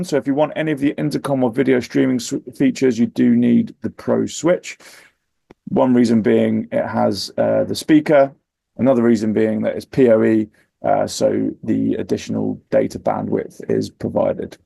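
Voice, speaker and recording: Male product presenter, heavy vocal fry, mellow and husky, informal and explanatory. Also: medium pitch, no room sound. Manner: explanatory, matter-of-fact.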